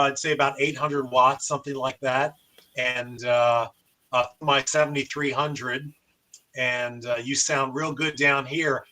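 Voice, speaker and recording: Bright-voiced American man, radio hobbyist. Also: medium pitch. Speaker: amateur radio operator and show host. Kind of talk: casual demonstration and radio chat.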